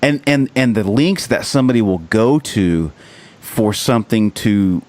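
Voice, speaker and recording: A man's voice: lowish voice, lively intonation, unhurried, darkish tone. An American man from the rural United States hosting a podcast, chatting casually in an earnest, rambling, sweary way. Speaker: podcast host. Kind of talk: casual chat.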